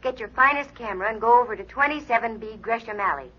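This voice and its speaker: An actress playing a dry, wisecracking, brisk female private eye in a 1940s radio detective drama. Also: fairly high pitch, pushed and bright, slightly rough. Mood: brisk, wry. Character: wry, brisk female private eye, slangy and coolly scheming. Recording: muffled, phone-like vintage radio recording.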